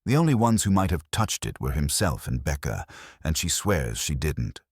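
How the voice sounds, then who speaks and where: Male actor as wisecracking detective for audiobook mystery, rock-bottom pitch, dry close sound. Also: big pitch swings, normal speed, slightly rough, no echo, exaggerated voice. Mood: businesslike. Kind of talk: audiobook character dialogue.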